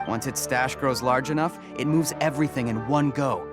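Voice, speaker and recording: A man's voice: medium pitch, normal speed, lightly acted. Guy doing a helpful villager in a game, plain mid voice, music behind. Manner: informative, even-toned. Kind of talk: game voice acting. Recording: game audio with clear background music.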